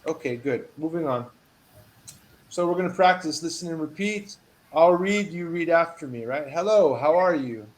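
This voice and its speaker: A man's voice: on the high side, normal speed, poor audio. Patient man tutoring English. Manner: patient, encouraging, reads model phrases with lively emphasis. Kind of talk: online one-on-one English lesson.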